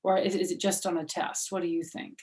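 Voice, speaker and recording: A woman's voice: fairly low pitch, little pitch movement, a little fast, darkish tone. A woman storyteller reading a children's book aloud, addressing young listeners directly in simple words.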